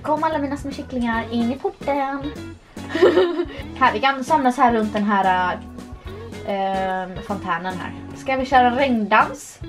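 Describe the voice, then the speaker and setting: High-voiced playful young woman gamer. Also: over music. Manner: playful, giggly, chatty. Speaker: vlogger. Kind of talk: casual gameplay commentary.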